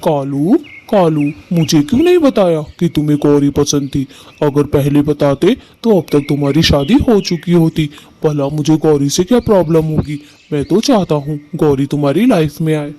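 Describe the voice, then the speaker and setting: A man plays a devoted male bird in a family cartoon. His voice is mellow and soft-edged, pitched a little high for a man, with an earnest, affectionate delivery. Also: animated, normal speed, close to an everyday voice. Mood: warm, excited. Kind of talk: Hindi family cartoon story acting.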